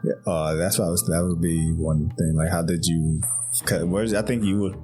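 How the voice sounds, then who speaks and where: A man hosting a podcast, chatting in a relaxed, slangy way and chuckling often. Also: deep voice, normal speed, faint music behind. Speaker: podcast host. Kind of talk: casual chat.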